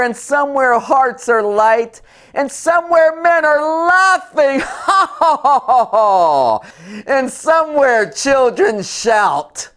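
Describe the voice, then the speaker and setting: A man giving a dramatic poetry reading in a theatrical, deliberate way, swinging between hushed tones and high, loud exclamations. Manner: drawn-out, deliberate emphasis. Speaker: sports columnist reciting a poem.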